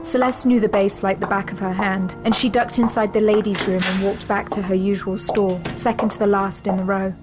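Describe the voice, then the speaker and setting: A woman giving measured, scripted narration of a spy thriller. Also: medium pitch, music in the background. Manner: measured, even storytelling.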